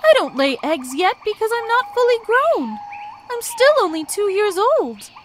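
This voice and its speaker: A woman's voice: extremely high pitch, sing-song, moderate pace, a little hiss or hum, pitched above the performer's own voice. A woman voicing a young female ostrich, friendly, proud and earnest, explaining things emphatically in a children's cartoon story. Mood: confident, explaining.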